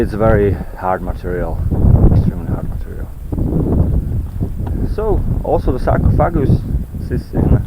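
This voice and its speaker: Male walking vlogger, lowish pitch, non-native English, rambling and repetitive delivery, noisy open-air trail sound. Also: highs cut off. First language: not English. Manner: halting, searching for words, repeats himself. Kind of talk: rambling walk-and-talk monologue on ancient texts. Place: outdoors on a mountain trail. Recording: noisy outdoor handheld recording with clicks.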